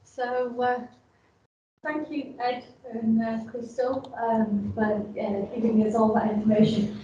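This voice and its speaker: A woman's voice: on the high side, flat delivery, slow, dark tone, dull audio, slight background noise. A woman with a Yorkshire accent giving a casual talk to an event audience, chatty and full of ums and restarts. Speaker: innovation hub staff presenter. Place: large echoey event room. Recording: roomy audio from a distant mic.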